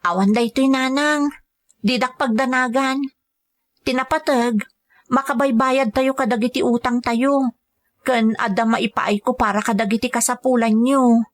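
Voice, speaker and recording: Woman acting as a dutiful young filipina caregiver, high gentle voice, earnest and polite, really dry recording. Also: steady tone, unhurried, no room sound, natural voice. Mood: earnest, reassuring. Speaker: voice actor in an audio drama. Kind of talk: scripted drama dialogue and narration.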